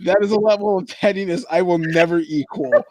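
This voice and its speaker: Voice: mid-range voice, brisk, a little mellow, dry recording, rough recording. A tabletop game player chatting casually and joking with the group.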